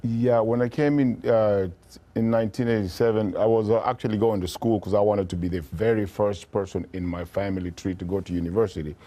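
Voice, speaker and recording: A man's voice: on the low side, steady tone, fairly quick, warm tone. A man in his fifties, an actor telling his story relaxedly in an interview. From Kenya. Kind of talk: casual interview talk.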